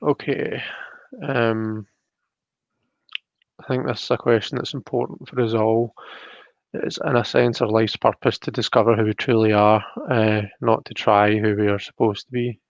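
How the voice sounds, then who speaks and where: A man's voice: on the low side, flat delivery, moderate pace, creaky. A man hosting a casual live-stream, chatting in a tentative, apologetic way. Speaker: host of a live tarot reading show. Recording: muffled, roomy home live-stream sound.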